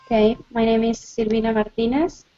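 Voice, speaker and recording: A woman from Argentina answering interview questions over a video call, relaxed and a little hesitant. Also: a little high, brisk, dull audio. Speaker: guest, remote worker.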